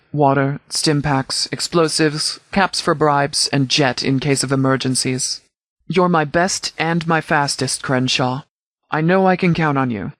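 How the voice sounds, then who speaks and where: An actor playing a commanding post-apocalyptic sheriff in a video game, speaking in a clipped, formal, authoritative way. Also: male voice, on the high side, fairly bright tone, no room sound, studio quality. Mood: urgent, firm.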